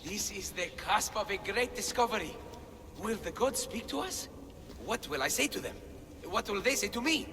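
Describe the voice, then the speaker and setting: An actress playing an excitable, self-doubting scholarly woman in a video game, with an earnest, dramatic manner. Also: mid-range voice, normal speed, lightly acted. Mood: awed, eager. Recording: long hall reverb, faint noise.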